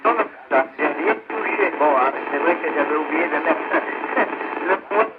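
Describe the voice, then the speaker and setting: A man's voice: on the high side, measured pace. A man in casual amateur radio chat, his voice coming over a two-way radio. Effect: two-way radio transmission. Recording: weak, very noisy narrowband radio.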